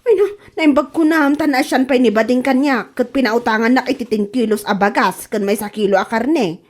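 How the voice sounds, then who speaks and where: A woman's voice: a little high, a little fast, close to an everyday voice. A woman with a Filipino Ilocano accent playing a hard-up, complaining wife who keeps borrowing, in a comedy radio drama. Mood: downcast.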